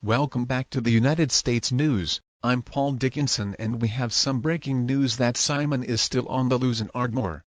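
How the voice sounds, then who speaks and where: A man's voice: mid-range voice, dry recording, lightly acted. Man acting as a news anchor, dry sound. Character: male breaking-news television anchor. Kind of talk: scripted news bulletin.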